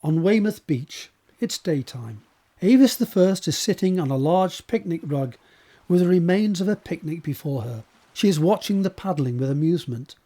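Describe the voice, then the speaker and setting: A man reading scripted scene descriptions as a narrator, in a measured, descriptive way. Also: a little high, animated, dark tone. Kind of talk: scripted narration of stage directions.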